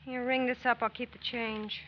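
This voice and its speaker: Actress doing a sassy small town american diner waitress, high voice, teasing slangy talk, dull old radio drama sound. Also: female voice, little pitch movement, normal speed, natural voice. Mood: bossy, teasing. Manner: folksy slangy banter, nosy and teasing. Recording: muffled, faintly noisy old radio recording.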